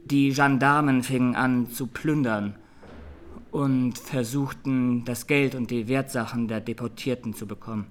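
An actor playing a haunted young Armenian defendant giving grave, deliberate testimony in a courtroom radio drama. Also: male voice, medium pitch, fairly even intonation, slight background noise, lightly acted. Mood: anguished.

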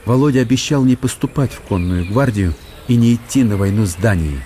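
A man reading literary narration for a radio play in an expressive, theatrical storytelling manner. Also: on the low side, fairly quick, slight background noise. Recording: studio radio play with faint music.

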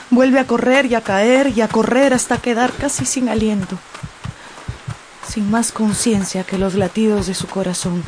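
A woman's voice: medium pitch, a little mellow, a little hiss or hum. A woman narrator reading a scripted radio serial in a solemn, suspenseful, dramatic way.

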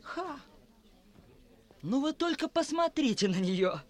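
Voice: sits high, very animated, takes it slowly, no echo, full character voice. A performer playing a cheeky village boy with a taunting, theatrical manner in a 1960s radio drama. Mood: mocking.

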